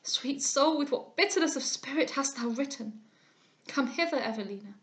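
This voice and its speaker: A woman's voice: high-pitched, animated, moderate pace, a bit bright. Woman voicing an anguished remorseful father. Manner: impassioned, declamatory outbursts. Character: remorseful aristocratic father, older man, anguished.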